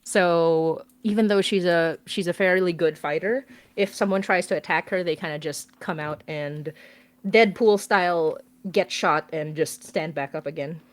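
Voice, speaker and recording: A woman chatting casually on a livestream, animated and giggly, with geeky enthusiasm. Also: fairly low pitch, unhurried, no room sound. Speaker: guest on a fundraising livestream.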